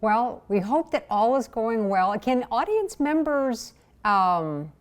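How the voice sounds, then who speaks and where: A woman's voice: on the high side, sing-song, slow pace. A woman hosting an interview in a conversational broadcast style, introducing and questioning her guest. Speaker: interview host.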